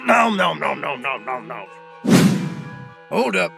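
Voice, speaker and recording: A swaggering cowboy ice warrior in a comic radio play. The voice is fairly high and creaky, swinging widely with folksy, drawling exclamations, over prominent music. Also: male voice, sing-song, slow pace, exaggerated voice. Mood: queasy. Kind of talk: comic movie-trailer parody acting.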